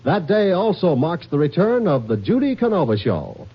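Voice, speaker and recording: A man's voice: fairly high pitch, sing-song, moderate pace, breathy edge, dull audio. An American man reading scripted network promotions in 1950s radio style on an old broadcast recording.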